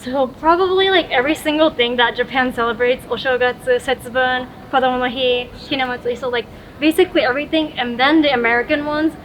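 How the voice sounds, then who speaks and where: A woman's voice: high voice, steady tone, fast talker, hardly any pauses, fairly bright tone, slight background noise, rough recording. A young woman from Florida, a guest in a casual conversation, chatty and giggly, sometimes tripping over her words.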